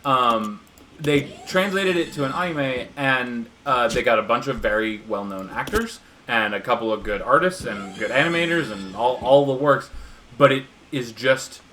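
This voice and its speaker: A man's voice: medium pitch, normal speed, pushed and bright, slight background noise. A man in casual chat while playing a video game, explaining at length with many fillers and restarts. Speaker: gaming co-streamer.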